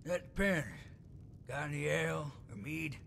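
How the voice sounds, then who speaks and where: A man's voice: a little high, a little slow, vocal fry, a bit bright, slight background noise, full character voice. A man playing a crude, lecherous drunken tavern patron in a video game, boastful, sardonic and blunt. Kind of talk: video game character dialogue.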